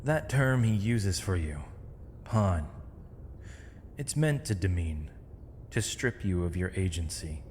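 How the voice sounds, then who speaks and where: An actor playing a proud, weary captive mage in an ASMR roleplay, with eloquent, theatrical and measured formal diction. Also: male voice, deep voice, animated, darkish tone. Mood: earnest, persuasive. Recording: reverberant hall, faint noise, dull lo-fi sound.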